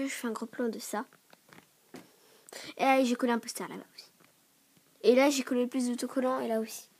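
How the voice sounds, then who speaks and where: Stuffy-voiced woman vlogging, hurried. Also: on the high side. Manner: hurried, rambling, often drops to a whisper. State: stuffy voice from a cold. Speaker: vlogger showing a toy dollhouse. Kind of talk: casual show-and-tell vlog. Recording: clear background noise and clicks.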